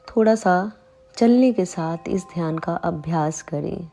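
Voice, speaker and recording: A woman leading a guided meditation, calm and soothing, giving unhurried instructions with long pauses between phrases. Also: on the low side, faint music behind. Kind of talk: slow guided meditation in Hindi.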